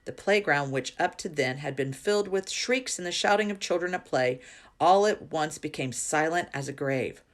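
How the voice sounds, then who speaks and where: A woman reading a children's story aloud in an expressive narrating style. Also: low-pitched, moderate pace. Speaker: reader.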